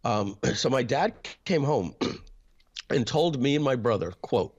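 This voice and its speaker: A man, telling a personal story as an interview guest, hesitant, with frequent throat clearing and filler words. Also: mid-range voice, lively intonation, takes it slowly, muffled recording. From New York. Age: 50s.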